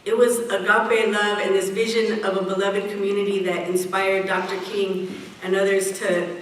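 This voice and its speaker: A middle-aged woman giving a prepared speech at a community event, measured and earnest, slowing down to stress key phrases. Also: medium pitch, level tone. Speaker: event speaker. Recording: echoey hall, picked up from a distance, faint hiss.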